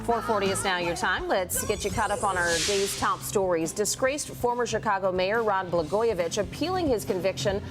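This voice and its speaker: A woman anchoring a local morning TV newscast, reading stories clearly and steadily. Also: medium pitch, moderate pace, hardly any pauses, music in the background. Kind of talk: scripted news reading.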